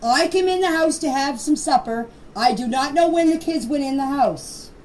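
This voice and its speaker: A woman's voice: sits high, lively intonation, moderate pace, darkish tone. An older woman giving an informal personal account in voice messages, plain-spoken and emphatic, often repeating herself. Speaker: grandmother recounting events. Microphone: voice clips recorded for a group chat. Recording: distant, noisy voice message recording.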